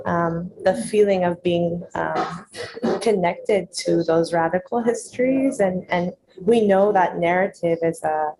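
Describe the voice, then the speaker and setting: A young woman interviewing in a casual, reflective, affirming way, with slangy wording and pauses mid-thought. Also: lowish voice, background noise. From San Francisco Bay Area, United States.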